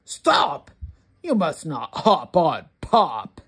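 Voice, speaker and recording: A woman reads a children's rhyming book aloud as a read-along host. Her voice is a little low and slightly husky, and she places each word deliberately for early readers, with lively swoops in pitch.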